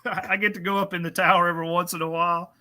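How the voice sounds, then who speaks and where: A man's voice: high-pitched, flat delivery, very fast, pushed and bright, a little hiss or hum. A man, a guest talking about his racetrack in an easygoing, self-deprecating, friendly way. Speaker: drag strip guest. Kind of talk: casual on-air interview.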